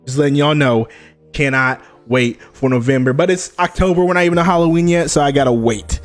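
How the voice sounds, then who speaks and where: Young male streamer, upbeat and chatty, slightly high voice, desk mic, faint music underneath. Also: a little fast. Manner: hyped, chatty, greets chat by name. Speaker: gaming news streamer. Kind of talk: casual live-stream chat. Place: home streaming setup.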